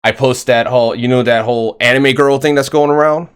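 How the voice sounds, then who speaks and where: Male podcast host, rambling, filler-heavy, upbeat, mid-pitched voice. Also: fairly even intonation, brisk, smooth. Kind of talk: casual interview chat.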